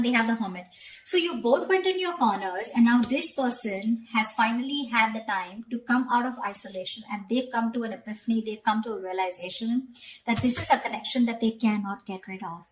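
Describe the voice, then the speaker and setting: A woman's voice: a little high, a little hiss or hum, rough recording. A woman giving a conversational tarot reading straight to the viewer, often repeating phrases and checking in with short tag questions. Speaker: tarot reader.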